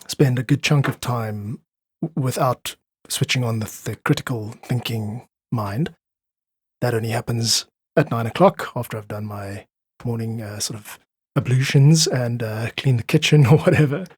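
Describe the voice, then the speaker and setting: A man speaks as a guest in a casual podcast conversation. His voice is husky and sits at a medium pitch, with a thoughtful, explanatory way of talking. The sound is close and dry. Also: darkish tone, no echo. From South Africa. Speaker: podcast guest, freelance musician.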